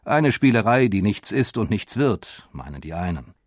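A man playing a motoring pioneer who recalls public mockery with wry drama, in measured, expressive storytelling for an audio documentary. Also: on the low side, dry recording, studio quality. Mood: mocking, indignant. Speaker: voice actor reading historical eyewitness accounts. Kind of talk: dramatized scripted reading of a memoir.

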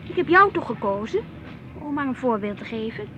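An actress playing a middle-aged housewife in a radio drama, teasing and gently assertive, questioning and mildly protesting. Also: female voice, fairly high pitch, big pitch swings, fairly quick. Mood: wistful, assertive. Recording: dull lo-fi radio play, faint noise.

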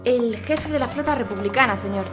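An actress playing a dutiful, brave young military telegraph operator, formal and deferential, in a period audio drama. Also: female voice, fairly high pitch, fairly quick, over music, lightly acted. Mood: dutiful reporting.

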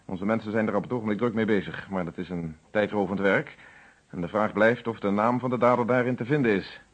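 A man's voice: lowish voice, fairly quick, lo-fi sound, natural voice. An actor playing a stern, methodical police inspector in a radio play, speaking formally and insistently as he interrogates. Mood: methodical, pointed.

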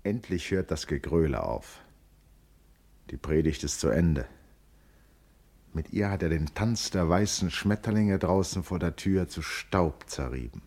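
Really deep voice, a wry introspective schoolboy, radio play monologue, measured and reflective. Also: male voice, lively intonation, darkish tone, heavily acted. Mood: wry, scornful. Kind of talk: literary radio drama monologue.

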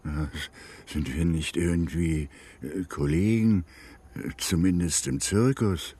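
An actor playing a stubborn, wary old ranger who speaks haltingly in a German western radio drama. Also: male voice, sits low, warm tone, breathy edge, heavily acted. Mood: wary, dry.

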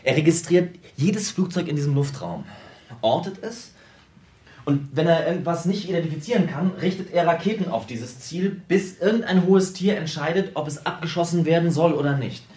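An actor playing an eccentric, self-absorbed programmer in a radio play, explaining things at length with small hesitations. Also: male voice, a little high, a little mellow, natural voice. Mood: wry, explanatory. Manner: explanatory, lecturing, halting in places. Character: eccentric, self-absorbed American computer programmer, brilliant tinkerer. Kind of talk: naturalistic radio drama dialogue.

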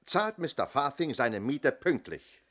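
An actor playing a courteous but persistent police inspector in a German radio play, questioning in a measured, official manner. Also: male voice, mid-range voice, big pitch swings, a bit bright. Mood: insistent.

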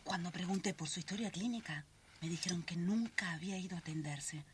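An actress playing a brisk, cheeky, confident grown daughter in a radio drama, with a Rioplatense Argentine accent and a teasing, assertive manner. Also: female voice, fairly low pitch, a little hiss or hum, lightly acted. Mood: confiding, hushed.

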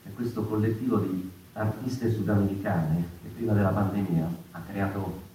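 An actor in his fifties playing a reflective, impassioned stage performer in a halting theatrical monologue that repeats words and phrases. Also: lowish voice, steady tone, warm tone, lightly acted. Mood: wistful, musing. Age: 50s. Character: impassioned fifty-year-old stage performer musing on freedom. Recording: echoey, distant, noisy stage room.

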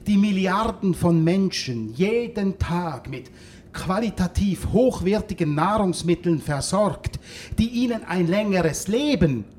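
An actor playing a pompous food industry executive in a German radio drama, formal, measured and self-important. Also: male voice, high-pitched, darkish tone. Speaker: gala speaker.

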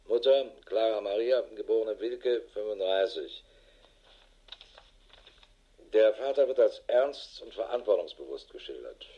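An actor playing a harried, middle-aged police investigator in a radio drama, matter-of-fact and dry. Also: male voice, lowish voice, warm tone, slightly rough, lo-fi sound. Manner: matter-of-fact, dry, clipped official phrasing. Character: harried, world-weary male police investigator with a wife. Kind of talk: German radio drama acting, dictated report.

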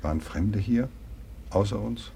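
An actor playing a businesslike, authoritative mayor in a German radio drama. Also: male voice, fairly low pitch, a little slow, gravelly, mellow, a little hiss or hum. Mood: concerned. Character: brisk mayor giving orders in a crisis. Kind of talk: German radio play acting.

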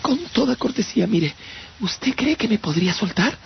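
A man's voice: high-pitched, animated, normal speed, gravelly. A man in a radio drama playing a frightened shipwrecked captive, pleading politely and stammering. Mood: nervous pleading. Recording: dull radio drama audio, faint noise.

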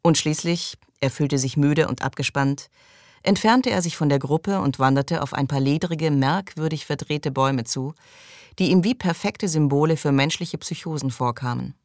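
A woman's voice: deep voice, moderate pace, darkish tone, no room sound, studio quality. A woman reading scripted literary narration as an audiobook narrator. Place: close, dry recording.

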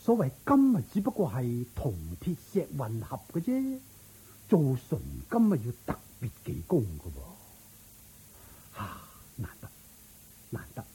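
A pompous, covetous old-world king in a Cantonese radio drama from Hong Kong. His husky, mellow voice swoops dramatically as he muses and exclaims. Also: on the high side, wildly swooping pitch, full character voice, poor audio. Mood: covetous musing. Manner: theatrical, drawn-out musing and exclaiming. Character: covetous, pompous, excitable king, adult man. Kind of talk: Buddhist story radio drama acting.

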